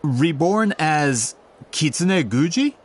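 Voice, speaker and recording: A man's voice: on the high side, expressive, slow, slight background noise. A man voicing a courteous, eager and persistent merchant in a game cutscene. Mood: polite. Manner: courteous, eager, slightly awkward. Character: polite eager male merchant, brisk and persistent.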